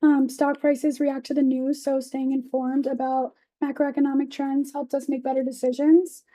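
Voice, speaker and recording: A young woman teaching assistant who is losing her voice gives tips to a class in a lecture room. Her voice is high and steady in pitch, with a very bright edge. She keeps things casual and informal, dropping in "kind of" and "you know.". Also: moderate pace. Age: young adult. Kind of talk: classroom presentation.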